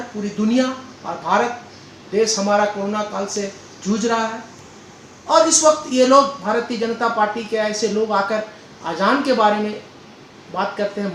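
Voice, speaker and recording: A man giving political interview answers in a deliberate, oratorical way, repeating phrases. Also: high-pitched, fairly bright tone. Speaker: political leader being interviewed. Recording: echoey room with faint background noise.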